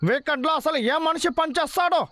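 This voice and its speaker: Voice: high-pitched, fast talker, background noise, lightly acted. An actor voicing a grumpy, put-upon male woodcutter in a children's cartoon dub. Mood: grumbling. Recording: loud cartoon music underneath.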